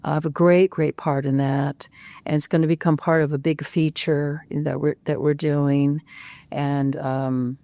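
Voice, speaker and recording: An older woman speaks in a low register, with a close and dry sound. She rambles easily through her stories. She leans on frequent fillers and self-corrections. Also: little pitch movement, unhurried, mellow, no echo. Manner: rambling, many "you know" fillers, restarts. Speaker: actress, guest. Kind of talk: casual interview chat.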